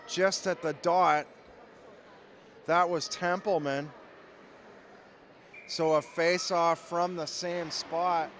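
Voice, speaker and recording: A man with a cold, hoarse and losing his voice, calling ice hockey play-by-play in a steady, measured way from an arena. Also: fairly high pitch, slight background noise. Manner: steady, measured call that climbs to shouting on goals. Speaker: play-by-play commentator.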